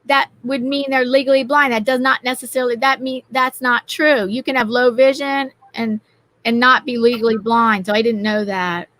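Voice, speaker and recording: A mature woman hosting a panel casually, in a halting way with repeated words and restarts. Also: fairly high pitch, fairly even intonation, a bit bright. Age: 40s or older. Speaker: livestream panel host.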